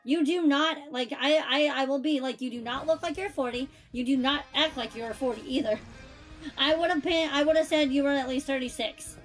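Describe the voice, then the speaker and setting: Woman, 30s, high-pitched streamer host, chatty and loose, heavy on "like". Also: brisk, soft background music. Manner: chatty, fills speech with "like". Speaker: streamer hosting a game stream. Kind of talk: casual chat while gaming.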